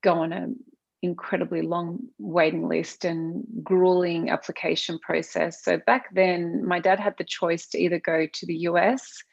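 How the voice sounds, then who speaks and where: Woman guest, grew up australia, arabic first language, gravelly fry, rambly with fillers, dull lo-fi call audio. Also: on the low side, level tone, unhurried. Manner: chatty, with frequent fillers and restarts. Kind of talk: casual conversational interview. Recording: dull lo-fi sound.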